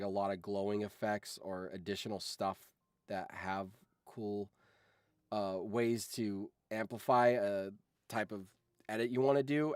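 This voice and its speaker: Low-voiced man thinking aloud about editing. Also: no echo, clean studio sound. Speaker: stream co-host commenting on editing. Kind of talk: casual tech chat.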